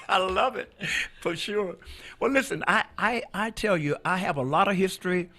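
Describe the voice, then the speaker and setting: An older man from Mississippi, a former radio DJ and minister, telling his life story in a relaxed, folksy way with many restarts and chuckles. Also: high voice, moderate pace. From Mississippi, USA.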